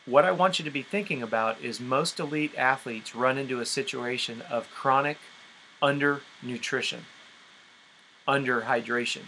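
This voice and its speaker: Bright, forceful man coaching. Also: medium pitch, slight background noise, rough recording. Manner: direct, emphatic, explaining with rhetorical questions. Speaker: endurance sports coach leading a conference call. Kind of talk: instructional coaching talk.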